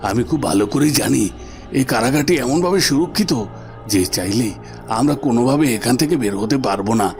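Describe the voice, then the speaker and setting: A man's voice: mid-range voice, expressive, a little fast, a little mellow, close to an everyday voice. A man voicing a defeated, scheming army commander and imprisoned father in a cartoon, grave and regretful. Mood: resigned. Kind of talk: melodramatic cartoon voice acting. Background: music.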